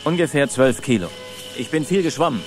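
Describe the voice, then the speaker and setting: A man plays an anxious father in a German radio play. He sounds earnest, apologetic and easily flustered, in a medium-pitched male voice with music behind it. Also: animated, a little fast, close to an everyday voice. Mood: sheepish. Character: anxious, evasive, apologetic father searching for his missing son. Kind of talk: German audio drama acting.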